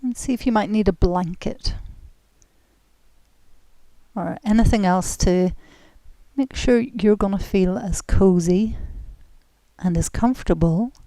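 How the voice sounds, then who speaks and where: A woman guides a relaxation session at a very slow, unhurried pace. Her voice is mellow and soft, turning breathy as phrases trail off. She is gentle and soothing, recorded close and dry. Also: mid-range voice, no echo. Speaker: guided relaxation and yoga instructor. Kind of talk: guided relaxation, meditative instruction.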